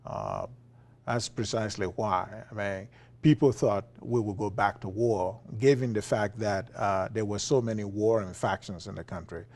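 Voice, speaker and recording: A Liberian-accented man talks in an easy interview, often pausing on fillers and chuckling between thoughts. His voice sits low and has a gravelly edge. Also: darkish tone. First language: Liberian English. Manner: chuckling, many uh fillers and restarts. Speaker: philosophy professor guest. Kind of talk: conversational interview.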